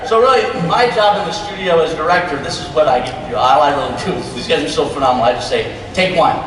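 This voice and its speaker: A man's voice: a little high, animated, quick pace, fairly bright tone. A man speaking casually on stage as a director hosting a panel. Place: large convention stage. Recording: echoey hall from far off, noisy.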